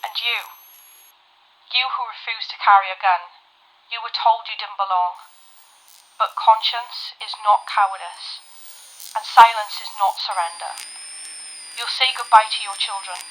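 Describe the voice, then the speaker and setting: A woman playing a solemn, compassionate 1930s British television announcer in an audio drama, poised and deliberate. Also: medium pitch, steady tone, fairly bright tone, low-quality recording. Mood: solemn, consoling.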